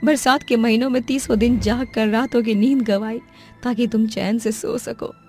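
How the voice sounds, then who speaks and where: A woman's voice: on the high side, steady tone, normal speed, warm tone, pitched above the performer's own voice, close to an everyday voice. Lady doing a sad poor devoted mom for a cartoon, pleading, raised voice. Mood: hurt. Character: poor, long-suffering, loving mother. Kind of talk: cartoon voice acting. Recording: music under the voice.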